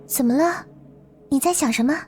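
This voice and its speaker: Woman acting a high-voiced, devoted mysterious girl. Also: brisk, a little mellow, soft background music, natural voice. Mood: gently reassuring. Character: Lilith, mysterious devoted girl from beyond the world. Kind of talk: visual novel game voice acting.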